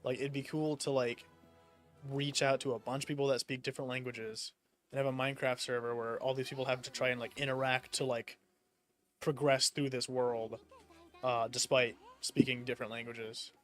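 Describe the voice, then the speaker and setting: A man's voice: mid-range voice, little pitch movement, normal speed, a bit bright, faint music behind. A young man from the United States chatting casually while gaming, rambling with lots of fillers and casual swearing. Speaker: streamer.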